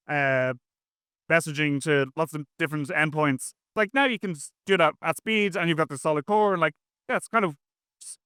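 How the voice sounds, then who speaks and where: A man with a bright, clear voice that sits a little high for a man. He talks like a guest in a casual interview, chatty and rambling with plenty of slang. The sound is close and dry. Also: no room sound. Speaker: interview guest, software engineer.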